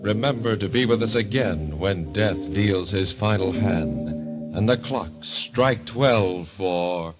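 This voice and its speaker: A man narrating and announcing a 1940s radio mystery show in a theatrical, ominous, deliberate manner. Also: on the low side, lo-fi sound. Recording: vintage radio with music behind.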